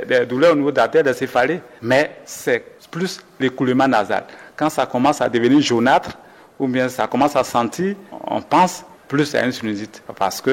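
Man, doctor, measured explanatory talk, self-corrections, reverberant room. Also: medium pitch. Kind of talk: medical explanation in an interview.

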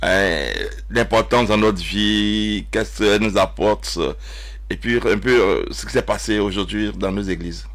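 A man hosting a religious radio talk show, often pausing and repeating his words. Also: lowish voice, fairly even intonation, fairly bright tone. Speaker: radio host.

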